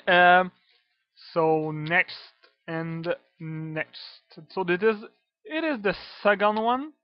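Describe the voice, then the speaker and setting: A man's voice: sits high, dry recording. A man with a French accent, a native French speaker, giving a casual screen-recorded modding tutorial, hesitant with many fillers and casual swearing. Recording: dull dry lo-fi computer mic.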